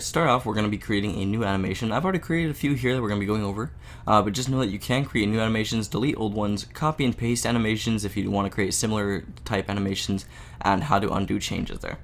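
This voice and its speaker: A man with a sick, slightly off voice, casually walking through a software tutorial with lots of filler words. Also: fairly low pitch, a little hiss or hum. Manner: casual, many "uh" and "there" fillers. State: sick, voice a bit off. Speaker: game engine tutorial maker. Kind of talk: screen-recorded software tutorial.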